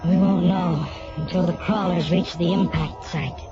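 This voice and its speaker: A man playing a dutiful alien aide to a military commander in a science fiction radio drama, formal and measured. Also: sits high, steady tone. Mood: formal concern. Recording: dull lo-fi recording, loud music behind.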